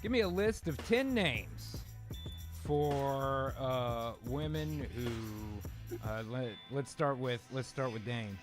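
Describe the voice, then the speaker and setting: A man casually riffing as host of a comedy show, animated and salty-tongued as he pitches to fellow performers. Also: takes it slowly, over music. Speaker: host of an improvised table-read show.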